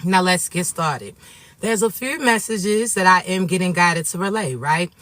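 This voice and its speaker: This is a man with a raspy, noticeably high voice who sounds a little stuffed up from allergies. He delivers a spiritual message straight to his viewers and keeps checking in with them. The recording is close and dry, with almost no room sound. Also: measured pace, dry recording. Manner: warm affectionate address to viewers, repetitive "okay?" check-ins. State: sniffly from allergies. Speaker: spiritual reader and coach.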